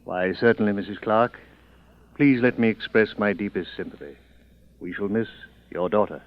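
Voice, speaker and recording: A man's voice: lowish voice, moderate pace, close to an everyday voice. Male, man acting: dignified colonial governor, formal, resolute, old radio drama, thin tinny sound. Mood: formal, concerned. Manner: formal, courteous. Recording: tinny old narrow-band radio recording.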